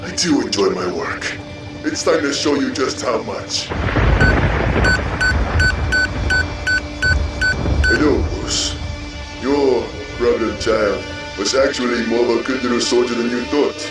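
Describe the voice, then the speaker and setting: A man playing a smug, coolly sadistic villain in a comic book audio drama, with a measured, taunting delivery. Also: medium pitch, lightly acted. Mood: sadistic, gloating. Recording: roomy, distant mic, music behind.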